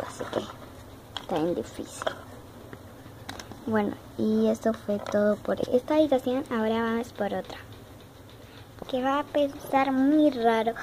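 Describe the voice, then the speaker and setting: Child vlogger, Argentine accent, chatty and repetitive, slightly high voice, noisy handheld phone audio. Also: female voice. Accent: Argentine Spanish. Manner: chatty, repeats words, childlike diminutives. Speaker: young vlogger showing her dollhouse. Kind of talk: casual show-and-tell narration. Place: home room with wooden floor, indoors. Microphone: phone camera held in hand.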